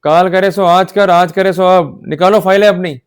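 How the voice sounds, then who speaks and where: Indian male law lecturer, slightly high voice, emphatic and exhorting teacher who keeps checking understanding. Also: steady tone, moderate pace, clean tone. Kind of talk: instructional law lecture in Hindi-English mix.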